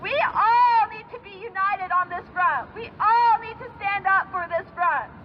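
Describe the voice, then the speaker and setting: A woman's voice: very high-pitched, a little slow. A woman emceeing an outdoor rally, with a rousing, punchy, chant-like delivery. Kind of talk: fiery rally speech and introductions. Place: outdoor city street rally. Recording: muffled outdoor recording with crowd and traffic.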